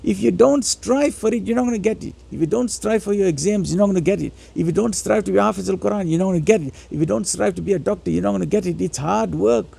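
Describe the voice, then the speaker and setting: A man with a high, very creaky voice delivers a motivational Islamic lecture. His tone is husky, and he exhorts listeners with emphatic repetition. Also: fast, hardly any pauses, mellow. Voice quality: raspy, gravelly. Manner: emphatic, exhortatory, repeats phrases for stress. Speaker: religious lecturer.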